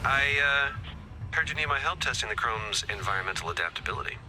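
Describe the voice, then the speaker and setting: A man's voice: mid-range voice, lively intonation, brisk, natural voice. A man voicing a wry, slightly awkward resistance leader in a video game, talking conversationally. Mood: exasperated. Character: wry, hesitant male resistance leader rallying the player. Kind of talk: video game character dialogue. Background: music.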